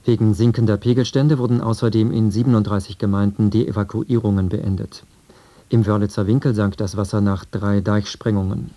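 A man's voice: lowish voice, fairly even intonation, brisk, dark tone. A man with a standard German accent reading a scripted radio news bulletin in a formal, factual manner. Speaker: radio newsreader.

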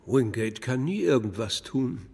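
An actor playing a sardonic small-town drinking buddy in a radio drama, with deadpan, curt delivery. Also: male voice, mid-range voice, measured pace, husky. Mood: deadpan sarcasm. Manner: deadpan, sarcastic one-liners.

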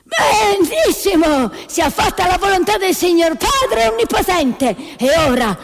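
A woman in a satirical stage play voicing a pompous, fawning male bishop with drawn-out, declamatory and deferential speech. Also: very high-pitched, big pitch swings, in a large hall, faint music behind, voice pushed up, full character voice. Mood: solemn, commanding. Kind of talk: theatrical satire.

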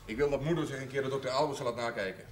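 Casting: male actor as disapproving young brother, dry, brisk, mid-pitched, TV period drama. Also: natural voice. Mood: earnest. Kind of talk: television period drama acting. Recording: faint noise, old television soundtrack.

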